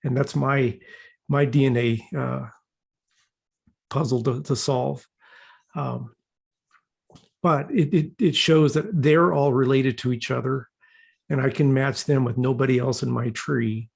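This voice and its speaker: A man's voice: medium pitch, steady tone, measured pace, gravelly, long pauses, slightly rough. A man giving an informal, unscripted explanation to a group, hesitant and often repeating words as he searches for phrasing. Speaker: class participant presenting an example.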